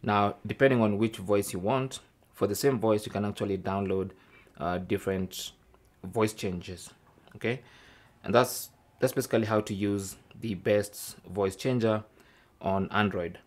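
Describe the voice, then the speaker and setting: A man's voice: lowish voice, fairly even intonation, a little slow. A man hosting an informal tech tutorial, explaining things casually step by step. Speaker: host of a tech tips show.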